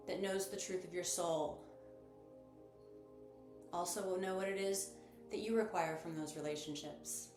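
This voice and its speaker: Woman, guided meditation narration, mid-range pitch, measured and encouraging, echoey space, music behind. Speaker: guided meditation host and sound bath guide. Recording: roomy echo with music behind.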